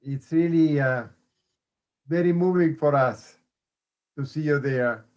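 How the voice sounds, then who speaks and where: An older man moderating a video call panel, unhurried and chuckling between remarks. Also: a little high, a little mellow. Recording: dull lo-fi call audio.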